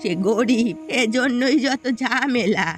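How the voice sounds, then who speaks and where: A woman's voice: a little high, takes it slowly. A woman voicing a poor elderly village mother-in-law in a cartoon, plaintive and complaining. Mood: aggrieved. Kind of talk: scripted cartoon dialogue. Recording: loud music behind the voice.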